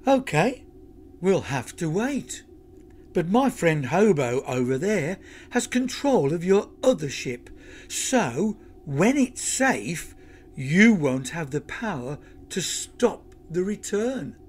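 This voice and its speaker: Man voicing a high-pitched, plucky girl heroine. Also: sing-song, slow pace, slight background noise, heavily acted. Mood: cheeky confidence. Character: bold, plucky human girl heroine, defiant and cheeky.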